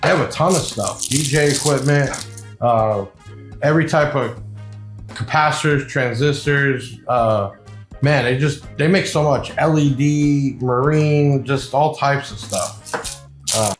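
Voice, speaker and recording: An echoey room surrounds a man with a medium-pitched voice as music plays softly behind him. He presents products like a shop owner on camera, chatty and rambling. His delivery is informal, with an enthusiastic salesman's patter. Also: measured pace. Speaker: video host and shop seller presenting products. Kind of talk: casual unboxing review, talking to camera.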